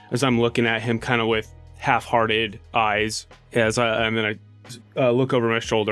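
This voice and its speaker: A man's voice: fairly low pitch, fairly bright tone, clean tone. A man playing a tabletop game, improvising his character's actions casually and thinking aloud. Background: faint music.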